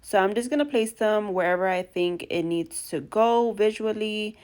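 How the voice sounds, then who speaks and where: A young woman with a stuffy nose from allergies, chatting warmly through a DIY craft tutorial and gushing to her viewers. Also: mid-range voice, normal speed. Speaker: craft vlogger.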